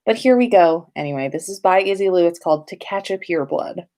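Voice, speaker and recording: A woman's voice: lowish voice, quick pace, darkish tone. A woman hosting a livestream, chatting casually and reading stories aloud in an informal, playful way. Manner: informal, playful, sweary, self-interrupting. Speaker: podcast host reading listener fan fiction.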